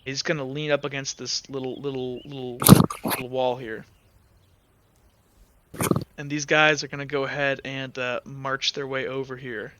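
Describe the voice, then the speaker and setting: A man's voice: medium pitch, little pitch movement, normal speed, pauses often, heavy background noise. A man narrating a tabletop game as game master, rambling with many fillers and hedges. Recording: dull lo-fi audio.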